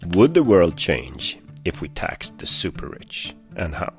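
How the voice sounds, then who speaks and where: Very deep-voiced man podcast host. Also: sing-song, unhurried, soft background music. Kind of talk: scripted podcast introduction.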